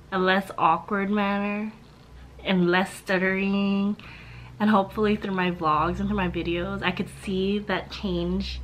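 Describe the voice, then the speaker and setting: A young woman in her twenties, a little hoarse from a sore throat, talking softly and haltingly to a camera in a small room at home. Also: medium pitch, slight background noise, rough recording. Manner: soft-spoken, halting, with restarts. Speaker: vlogger. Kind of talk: casual talk to camera. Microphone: camera on a stand facing her.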